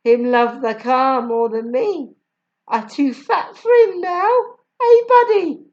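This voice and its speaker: A woman voicing a loud, laughing middle-aged Jamaican mother with a booming, sing-song manner. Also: takes it slowly, highs cut off. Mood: boisterous. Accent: Jamaican (put on).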